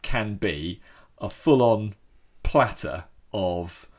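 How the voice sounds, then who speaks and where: A man's voice: lowish voice, lively intonation, extremely slow. A man telling a story in casual chat, with frequent restarts.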